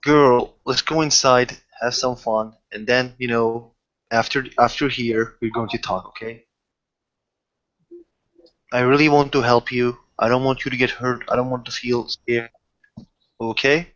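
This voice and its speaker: A man's voice: medium pitch, fairly even intonation, fairly bright tone, lightly acted. Man playing a protective werewolf, dull lo-fi sound. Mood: protective, reassuring. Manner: halting, repetitive, earnest pleading. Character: protective, insistent high-ranking werewolf man offering help. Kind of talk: improvised tabletop roleplay dialogue.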